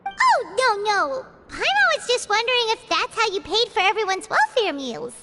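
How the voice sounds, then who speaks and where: A woman voicing a small, childlike fairy companion in an anime-style video game, chirpy, curious and excitable with a squeaky voice. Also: moderate pace, very noisy. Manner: excitable, refers to self in third person.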